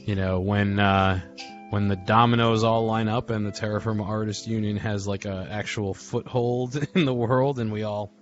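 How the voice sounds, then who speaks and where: A man chatting casually and reminiscing on a group call, rambling along in informal, slangy talk. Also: fairly low pitch. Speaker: podcast host and audio drama group member. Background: music.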